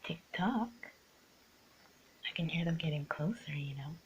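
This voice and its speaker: A woman's voice: low-pitched, animated, measured pace, dull audio, a little hiss or hum. A woman playing a teasing, bossy young art student in an improvised ASMR roleplay, often slipping into a half-whisper. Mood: sly teasing.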